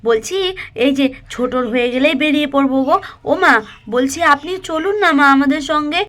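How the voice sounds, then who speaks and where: A woman's voice: sits high, fairly even intonation, fairly quick, fairly bright tone. A woman voicing a chatty, eager young village housewife in a doll cartoon, exclaiming and coaxing in a sing-song way. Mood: bright, eager.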